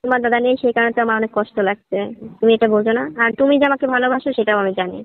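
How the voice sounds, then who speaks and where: Woman acting as flirtatious lonely sister-in-law, very rapid speech, high voice, coaxing, staged phone drama. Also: dry recording, close to an everyday voice. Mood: wistful, flirtatious. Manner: coaxing, teasing, suggestive. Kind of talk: staged phone-call dialogue. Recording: tinny narrowband phone line.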